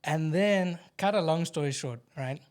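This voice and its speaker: A man's voice: a little high, measured pace, darkish tone. A South African man from Durban, guesting on a podcast. He tells his story candidly and at length, slipping in jokes along the way.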